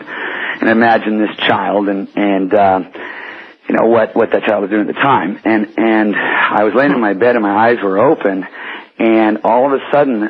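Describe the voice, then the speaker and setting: An American man calling a radio show, telling a personal story in a halting way over the telephone. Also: fairly low pitch, husky. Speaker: phone-in caller. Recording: tinny, muddy telephone line.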